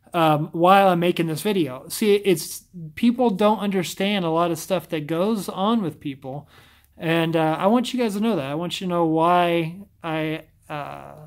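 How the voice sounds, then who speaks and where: A man with a scratchy voice talking casually to camera, often stumbling and restarting his sentences. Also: on the high side. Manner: stumbles over words, rambling restarts.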